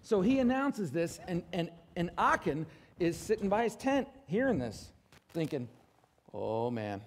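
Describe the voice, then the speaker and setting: A man's voice: sits high, sing-song, unhurried. Man preaching emphatically in church. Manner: emphatic preaching with dramatic volume swings, asks the congregation for assent. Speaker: pastor. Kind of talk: sermon. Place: church stage with congregation.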